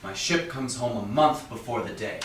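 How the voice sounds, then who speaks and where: A man's voice: mid-range voice, moderate pace, fairly bright tone, natural voice. A man playing a proud, scornful and confident merchant in a staged Shakespeare reading. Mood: breezy, confident. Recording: distant, echoey room with background noise.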